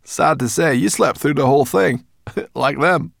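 A man voicing a boastful, cackling female brownie who talks loudly and shrilly. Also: fairly high pitch, a little slow, creaky, no echo, clean studio sound, pitched above the performer's own voice. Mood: teasing.

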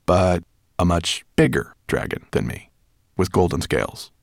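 A man reading an audiobook, voicing a calm, gentle male dragon who talks slowly. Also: low voice, vocal fry, dry recording, clean studio sound, full character voice.

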